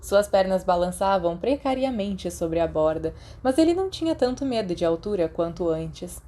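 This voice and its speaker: A woman's voice: lowish voice, animated, normal speed, dark tone. A woman with a Brazilian accent reading scripted fiction as an audiobook narrator.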